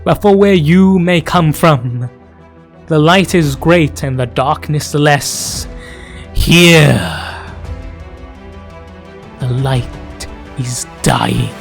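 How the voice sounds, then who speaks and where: A man delivering dramatic scripted lore narration, grave and portentous, in archaic oratorical phrasing with dramatic pauses. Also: fairly high pitch, creaky. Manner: grave, theatrical, portentous, addressing the listener as traveler. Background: background music.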